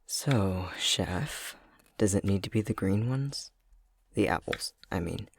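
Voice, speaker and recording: A young man playing a clumsy, self-conscious fox-shifter boyfriend in an intimate ASMR roleplay, speaking hesitantly with a soft, breathy, gravelly voice. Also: sits low, lively intonation, slow, full character voice. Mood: sheepish, teasing. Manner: hesitant, stammering, self-deprecating.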